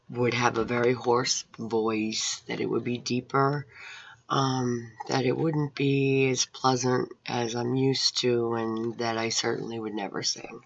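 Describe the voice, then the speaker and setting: Raspy-voiced woman, slow and rambling. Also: extremely low pitch, no room sound. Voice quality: raspy, hoarse. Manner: rambling, earnest, religious. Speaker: Bible college student. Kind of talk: personal video testimony. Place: room at home with painted scripture wall. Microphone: webcam at close range. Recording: dull lo-fi webcam audio.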